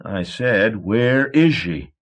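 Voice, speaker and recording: A man voicing a gruff, hot-tempered old steamboat captain who bellows and blusters. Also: on the low side, unhurried, lightly acted. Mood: angry.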